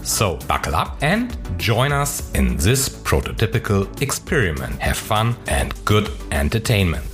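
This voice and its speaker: A man's voice: on the low side, wildly swooping pitch, unhurried, music in the background. A man hosting a podcast with an upbeat, promotional scripted introduction. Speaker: podcast host.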